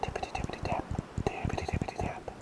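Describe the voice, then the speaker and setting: A man playing a gentle, curious masked plague doctor in a whispered ASMR roleplay, breathy, playful and polite. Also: fast, close to an everyday voice. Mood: soothing, playful. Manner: soothing, playful, polite murmuring.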